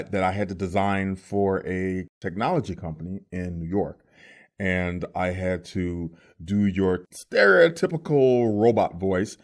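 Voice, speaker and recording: A man with a lowish voice gives a tutorial as a working voice actor. His delivery is casual but scripted, explaining things point by point. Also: darkish tone.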